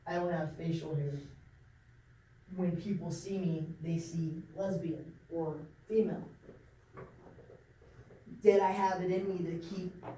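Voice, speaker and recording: A man from Nebraska speaks to a group of young people, halting and restarting with frequent ums. His voice is mellow and unhurried. It is recorded from across an echoey room with a faint hiss behind. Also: a little high, far from the mic. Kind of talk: informal talk to a group.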